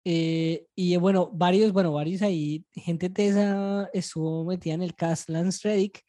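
Low, mellow Colombian woman, slangy and blunt. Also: fairly even intonation, a little slow. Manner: slangy, blunt, swears freely. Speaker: podcast co-host. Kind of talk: casual chat about pop culture.

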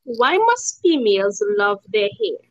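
A woman interviewer asking questions in a warm, encouraging way with short replies. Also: on the high side, sing-song, a little slow, dry recording, dull audio.

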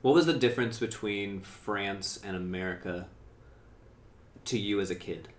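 A man hosts a podcast and asks his questions haltingly, often stopping to restart them. His voice sits low. The room gives it a clear echo. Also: slight background noise. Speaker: podcast host, interviewer. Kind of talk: casual interview questions. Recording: echoey room.

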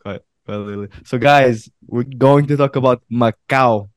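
A man's voice in a middle pitch, recorded dry and close with no room echo. He hosts a casual podcast in an animated, laughing manner and stresses points by saying them twice. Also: moderate pace, clean tone. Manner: animated, laughing, repeats phrases for emphasis. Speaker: podcast host.

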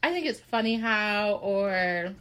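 A woman's voice: medium pitch, expressive, slow pace, a bit bright, smooth. Chatty, congested young woman. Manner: chatty, lots of "like", laughs often. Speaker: podcast co-host. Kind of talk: casual chat.

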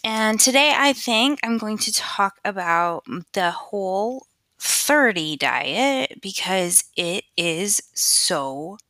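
A woman's voice: lowish voice, bright, forceful tone, dry recording. A young woman hosting her own podcast, chatting casually and rambling with lots of fillers, recorded at home on a USB headset mic. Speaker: podcast host. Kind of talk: casual solo podcast chat. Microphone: USB computer headset. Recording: dry close headset mic.

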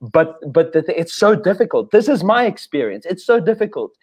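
A devotee, a man, speaks candidly about his inner struggles, and his voice sits slightly high. His sentences often trail off softly at their ends. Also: big pitch swings, brisk, husky. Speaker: devotee sharing experience. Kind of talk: earnest discussion.

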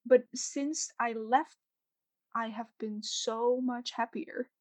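A young woman chatting on a podcast, her voice a bit high and close to the mic. She rambles and restarts often. The sound is dry, with no room around it. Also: steady tone, takes it slowly, a little mellow, no room sound. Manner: rambling, many fillers and restarts. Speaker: podcast co-host. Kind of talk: casual podcast chat.

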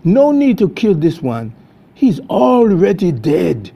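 A man's voice: sits high, wildly swooping pitch, slow, creaky, warm tone, husky, exaggerated voice. A man voicing a bold, menacing and commanding pirate captain with a Jamaican accent in a storytelling performance.

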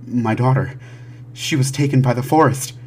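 Guy doing a desperate scared dad in an audio drama, low voice, stammers and pleads, faint noise behind. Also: fairly even intonation, normal speed, distant mic, close to an everyday voice. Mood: panicked. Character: terrified, desperate father begging police for help.

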